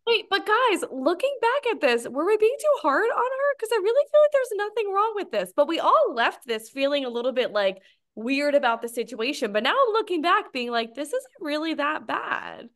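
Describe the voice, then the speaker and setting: A woman co-hosting a casual aftershow chat over a video call, animated and quick to laugh at herself. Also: sits high. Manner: animated, laughing, self-mocking. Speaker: co-host.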